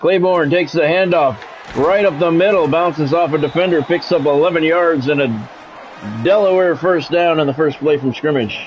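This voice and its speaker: A man's voice: fairly high pitch, normal speed, lo-fi sound, soft background music. A man streaming a football video game, mixing play-by-play commentary with casual, blunt, salty chat.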